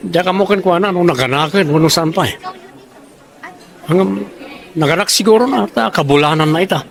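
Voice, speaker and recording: Casting: actor as casual, slippery young guy for audio drama, on the higher side for a man. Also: brisk, creaky. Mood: evasive. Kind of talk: Ilocano audio drama acting.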